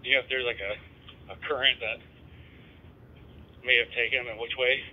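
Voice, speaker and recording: A man's voice: mid-range voice, fairly even intonation, moderate pace, sharp tone, slightly rough, dull audio. A man working as an emergency dispatcher, speaking in terse radio traffic over a two-way radio. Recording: band-limited, noisy police scanner radio.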